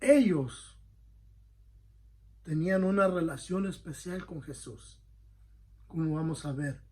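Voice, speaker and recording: A man with a high-pitched voice teaches a Bible study as a pastor. He speaks earnestly and conversationally, often pausing to check that his listeners follow. He corrects himself mid-phrase as he goes. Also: darkish tone. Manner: frequent self-corrections.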